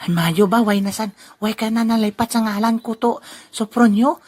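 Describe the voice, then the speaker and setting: A woman's voice: on the high side, steady tone, moderate pace, a little mellow. A woman voicing a sly old man who sells off a friend's things and chuckles at his scheme. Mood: scheming. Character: sly old man selling stolen goods. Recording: noisy amateur recording.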